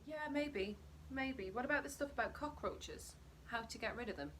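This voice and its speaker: A woman's voice: fairly high pitch, expressive, moderate pace, a bit bright, slight background noise, pitched above the performer's own voice. A woman reading as a numb, weary middle-aged husband and father, laconic and guarded, in a storybook narration. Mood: uneasy.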